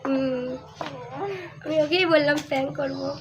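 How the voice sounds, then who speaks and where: A young woman with a West Bengali accent talks casually into a phone, teasing and coy as she keeps pressing with questions. Her voice sits high. The amateur recording has clear background noise. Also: little pitch movement, moderate pace. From India, West Bengal. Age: young adult. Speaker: vlogger making a prank call. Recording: noisy amateur video recording.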